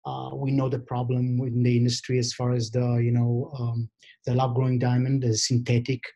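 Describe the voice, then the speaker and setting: A middle-aged man with an Israeli accent speaks English on a webcam call from an office, at a medium pitch for a man. He talks in a halting way, stopping often with fillers and restarting his sentences as he looks for the words. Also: monotone, warm tone. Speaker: diamond expert and vendor. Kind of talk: video call interview. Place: office indoors. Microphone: video call webcam.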